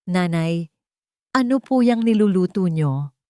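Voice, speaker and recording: A woman's voice: lowish voice, animated, unhurried, mellow, no room sound. Snobbish, condescending wife for audio drama, played by a female narrator; clipped, falsely polite, mixing in English, dry sound. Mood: falsely sweet. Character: cold, snobbish young wife feigning sweetness to mother-in-law.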